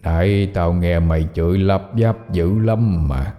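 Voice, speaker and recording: A man voicing a menacing, mocking demon in a horror story, hoarse and rasping, taunting in a Southern Vietnamese accent. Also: low voice, mellow, big-room sound, soft background music. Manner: slow, drawn-out taunting with crude insults. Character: gloating male long-necked demon, menacing and mocking.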